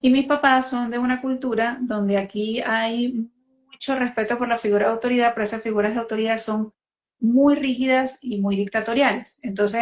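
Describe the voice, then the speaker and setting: A woman born in Venezuela hosting a talk, speaking reflectively and with measured restarts about raising her children. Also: on the high side. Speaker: host, mother. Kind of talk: conversational talk.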